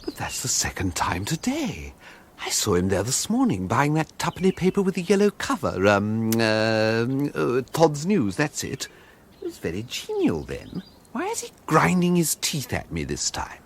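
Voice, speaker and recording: An actor playing a fussy, excitable English village bachelor in a radio comedy, prim and hesitant in manner. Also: male voice, medium pitch, moderate pace, a little hiss or hum. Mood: puzzled, inquisitive. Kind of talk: radio comedy drama acting.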